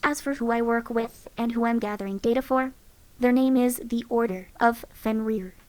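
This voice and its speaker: A woman voicing a calm, wry female companion in a game, delivering voice lines in an offhand way. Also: on the high side, normal speed, dry recording, close to an everyday voice.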